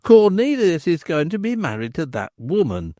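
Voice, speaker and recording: A man doing a sly, flattering innkeeper. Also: on the high side, moderate pace, no echo, studio quality, pitched above the performer's own voice. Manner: smooth-talking, teasing.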